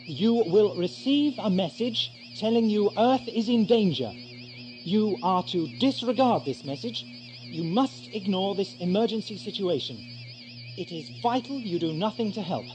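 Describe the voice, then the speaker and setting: An actor playing an eccentric, theatrical time traveller in an audio drama, brisk and self-mocking. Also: male voice, high-pitched, animated, over music. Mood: urgent, declaiming. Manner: theatrical, expounding, exclamatory. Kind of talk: 1980s amateur audio drama acting.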